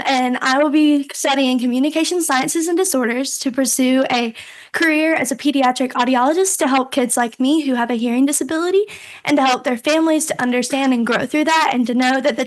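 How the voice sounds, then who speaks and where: A woman's voice: high voice, brisk. A young woman in her late teens, a scholarship student giving earnest, prepared remarks.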